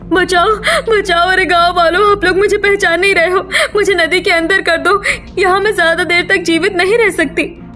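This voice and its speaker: A woman's voice: extremely high pitch, steady tone, normal speed, pushed and bright, soft background music, pitched above the performer's own voice. A woman voicing a desperate mermaid mother in a cartoon story, pleading and plaintive.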